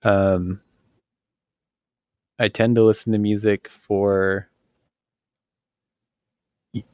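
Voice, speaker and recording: A man with a fairly low voice chats on a podcast in a relaxed, meandering way. He fills his thoughts with ums and likes and laughs easily. The sound is close and dry. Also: drawn-out words, clean tone, no room sound. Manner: relaxed, rambling, laughs often, many fillers. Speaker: podcast co-host. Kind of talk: casual podcast conversation.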